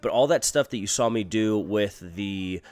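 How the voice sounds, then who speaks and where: A man's voice: fairly low pitch, normal speed, darkish tone, no room sound. A young man vlogging casually with a stuffy, congested nose, upbeat and rambling with fillers. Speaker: fitness vlogger and trainer. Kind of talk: casual vlog and voice-over narration. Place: in a car.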